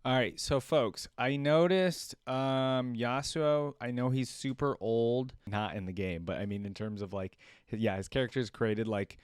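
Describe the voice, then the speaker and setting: A man hosting a reaction video, reading aloud and commenting casually as he thinks out loud. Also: medium pitch, a little slow, few pauses, smooth, no room sound, clean studio sound. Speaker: reaction video host and streamer.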